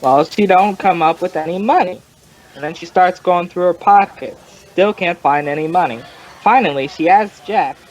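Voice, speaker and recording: An actor playing a folksy, rambling male informant who tells his story in colloquial, drawn-out fashion, in old-time radio crime drama style. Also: high-pitched, lo-fi sound, soft background music, lightly acted.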